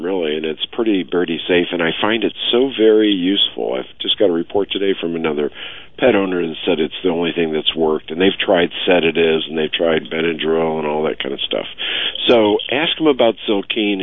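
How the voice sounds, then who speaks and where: Deep-voiced veterinarian on call-in radio. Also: male voice, dry recording. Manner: relaxed, reassuring, explanatory. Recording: phone-like narrow studio sound.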